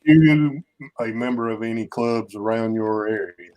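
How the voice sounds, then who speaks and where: A man's voice: mid-range voice, measured pace, a little mellow, low-quality recording. Laid-back male stream host with a chuckling, easygoing delivery. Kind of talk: casual live stream chat.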